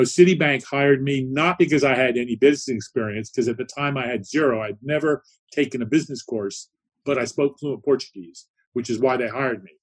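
Husky-voiced man sharing career advice. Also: medium pitch. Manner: reflective, storytelling, rhetorical "right?". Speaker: guest, business consultant. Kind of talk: conversational interview answers.

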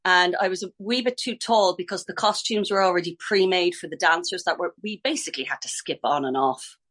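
A woman's voice: on the low side, fairly quick, a bit bright. A middle-aged Irish woman, a ballet teacher, chatting in a podcast interview, talking freely, restarting sentences and laughing easily. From Ireland.

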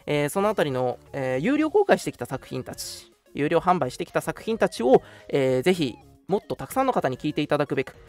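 A man's voice: fairly high pitch, sing-song, brisk, hardly any pauses. A man hosting a casual radio show, chatting politely and making announcements over background music.

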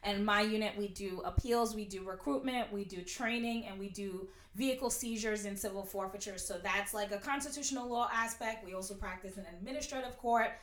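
A young woman in her twenties, a prosecutor, talking loudly and outspokenly in a casual interview. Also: medium pitch, a little fast, hardly any pauses, slight background noise. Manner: loud, outspoken. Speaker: guest, prosecutor. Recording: roomy echo.

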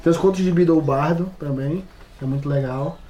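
Mellow, fairly high-voiced Brazilian man. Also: fairly quick, muffled recording. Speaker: podcast panelist. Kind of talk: casual chat about tabletop role-playing.

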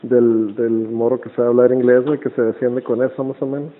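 Over a thin, phone-like line, a man with a northern Mexican accent chats as a podcast host. His mid-pitched voice is casual and slangy, and his manner is jokey. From Nicaragua, moved to Tijuana, Mexico. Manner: slangy, jokey, laughs often. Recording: thin, phone-like band-limited sound.

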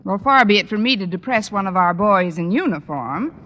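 A woman's voice: medium pitch, lively intonation, dull audio, close to an everyday voice. A woman playing a wisecracking, sarcastic schoolteacher in a 1940s radio comedy. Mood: dry, sardonic. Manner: dry, sarcastic, quick with quips. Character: wry, wisecracking unmarried female schoolteacher. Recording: old radio recording, hall reverb, clear noise.

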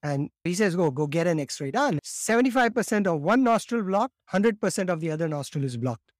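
A man in his fifties, raised in India, telling his story as a podcast guest in a lively way, often acting out quotes and repeating words as he starts. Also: high-pitched, fairly quick, no room sound, clean studio sound. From born in Morocco, raised in India. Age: 50s. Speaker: guest, laughter yoga teacher.

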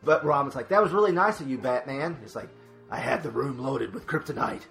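A man's voice: medium pitch, a little fast, creaky, a bit bright. A man chatting casually as a podcast co-host. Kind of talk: casual animated chat. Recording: roomy sound with faint music.

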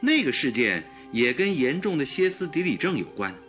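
An agreeable, earnest detective's companion in a radio drama. His thoughtful speech swings widely in pitch, with a forceful edge and faint music behind it. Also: big pitch swings, normal speed. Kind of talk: Chinese radio drama acting.

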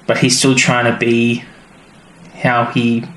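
A man's voice: medium pitch, completely flat delivery, takes it slowly, fairly bright tone. A man co-hosting a podcast, chatting casually and rambling with many fillers. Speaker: podcast co-host. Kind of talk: casual chat. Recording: roomy with faint noise.

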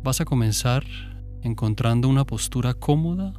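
A man guiding a meditation in a calm, gentle, unhurried manner with pauses. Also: medium pitch, soft background music. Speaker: meditation guide. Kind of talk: guided meditation.

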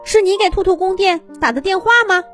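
A woman's voice: extremely high pitch, brisk, soft background music, pitched above the performer's own voice. A woman voicing a proud, stubborn little male rabbit in a children's story, boastful and challenging. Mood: questioning.

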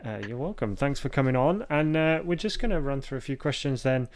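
A man hosts a casual chat show with an easygoing, teasing delivery. His voice sits at a middle pitch, and he plugs the show with upbeat energy. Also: animated, a little fast. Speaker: host. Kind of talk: casual podcast chat and interviewing.

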